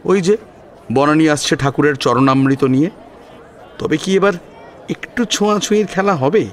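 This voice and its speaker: A man's voice: a little high, moderate pace, a faint crowd. A man narrating a scripted dramatic story.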